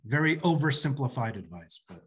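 A man in his forties speaking as a panelist on a video call, explaining in halting, emphatic phrases. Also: mid-range voice, very animated. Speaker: panelist, radio play director and producer. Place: small office, indoors. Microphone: computer mic on a video call.

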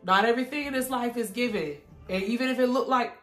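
Young woman vlogger, hoarse and stuffy, preachy and encouraging, roomy echo, soft music under. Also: a little high, quick pace. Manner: encouraging, preachy, addresses viewers directly. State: hoarse and congested. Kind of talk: casual faith-filled catch-up talk.

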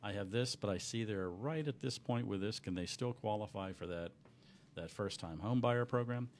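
A middle-aged man, a little hoarse, explaining loans as a guest on a radio talk show in a small studio with a desk mic. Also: fairly low pitch, normal speed, a little hiss or hum. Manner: earnest, explanatory, many restarts. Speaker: mortgage company owner, guest. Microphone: desk microphone on boom.

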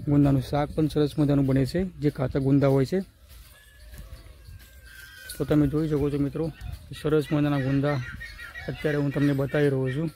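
A man's voice: on the high side, warm tone, slight background noise. Young Gujarati man vlogging from his rooftop. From Gujarat, India. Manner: narrates what he films, repeating phrases often. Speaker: village vlogger. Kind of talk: casual walk-and-talk vlog. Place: outdoors on a rooftop in a village. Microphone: handheld phone camera. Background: birds calling.

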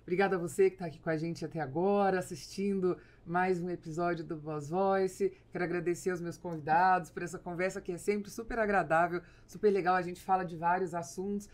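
A woman's voice: on the low side, fairly even intonation, fairly quick, hardly any pauses, a little mellow. A woman with a Brazilian accent, hosting a podcast conversationally at a close studio microphone. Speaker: podcast host. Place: small foam-padded podcast studio. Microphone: studio microphone on boom arm, close.

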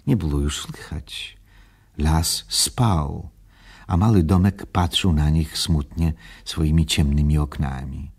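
A man reading scripted storybook narration with a gravelly voice. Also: extremely low pitch, a little mellow, exaggerated voice.

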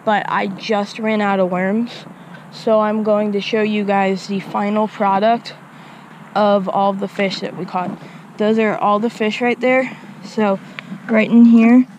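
A woman's voice: mid-range voice, a little mellow, slight background noise, poor audio. A woman casually narrating her fishing outdoors by a small creek, recorded on an action camera, chatty and talking to her viewers. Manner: chatty, repeats words, addresses viewers as guys. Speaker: fishing vlogger.

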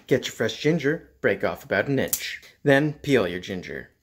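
A man in his thirties hosting a playful how-to cooking vlog at home with mock-serious, deadpan humour. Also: mid-range voice, normal speed. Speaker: cooking show host. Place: home kitchen and dining room.

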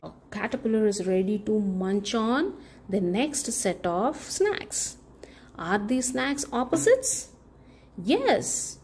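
A woman reading a picture book aloud to young children in an expressive, deliberate way, stressing key words and posing questions to her little listeners. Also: fairly high pitch, a little hiss or hum. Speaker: children's book reader, channel host.

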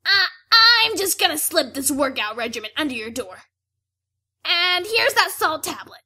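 A woman's voice: high voice, big pitch swings, normal speed, pushed and bright, heavily acted. A woman voicing an awkward young athletic pony in a fan-made cartoon radio play.

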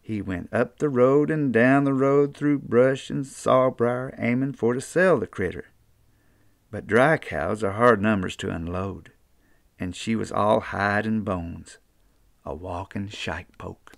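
An older man with an Appalachian accent, reading a children's storybook aloud in an unhurried, folksy way. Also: lowish voice, vocal fry, no room sound, studio quality. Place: small book-lined room indoors.

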